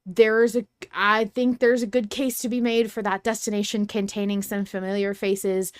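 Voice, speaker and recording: A woman's voice: medium pitch, flat delivery, moderate pace, smooth, no room sound. Woman podcaster in a very dry recording. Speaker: podcast host. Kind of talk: casual fan discussion.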